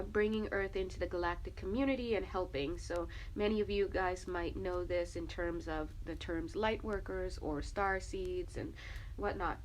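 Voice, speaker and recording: A woman's voice: mid-range voice, slight background noise, low-quality recording. A woman with a stuffy, sniffly nose, chatting casually through a spiritual tarot reading, with lots of fillers and little laughs. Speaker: tarot reader.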